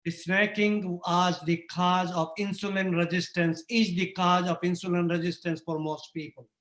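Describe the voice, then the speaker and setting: A man's voice: high-pitched, level tone, normal speed, a bit bright, echoey room. A man taking part in an online class, making his point emphatically and repeating it over a video call. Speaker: class participant. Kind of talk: casual call chat.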